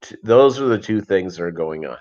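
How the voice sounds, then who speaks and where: A middle-aged American man chatting casually and unscripted on a livestream, rambling and halting with a jokey manner. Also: deep voice, lively intonation, darkish tone. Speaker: fishkeeping livestream host and voiceover performer. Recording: dull lo-fi livestream audio.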